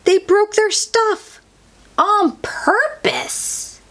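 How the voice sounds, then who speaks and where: A woman reading a children's picture book aloud as a storyteller, expressively and theatrically, with drawn-out emphasis. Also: very high-pitched, a bit bright.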